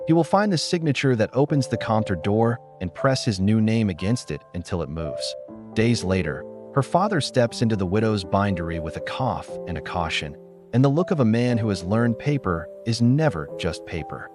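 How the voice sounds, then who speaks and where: A man reading a literary story in a measured, solemn manner, as a scripted narrator. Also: lowish voice, sing-song, music in the background.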